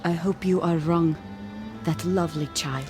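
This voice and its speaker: An actress playing a worried, gentle European woman in a radio drama, speaking in careful, formal phrases. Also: lowish voice, steady tone, a little slow, lightly acted. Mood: worried, regretful. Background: music.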